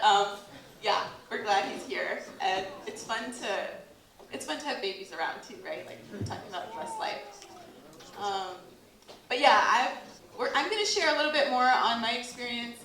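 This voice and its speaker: A woman's voice: fairly high pitch, fairly bright tone, slight background noise. An American woman in her late thirties gives a casual talk to a workshop audience, chatty and full of "like" fillers, laughing often. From United States. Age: 30s. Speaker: workshop speaker. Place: event hall with an audience. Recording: echoey hall.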